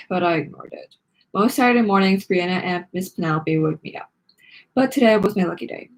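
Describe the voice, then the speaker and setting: A woman's voice: lowish voice, low-quality recording. A woman hosting a read-along, narrating a children's book expressively.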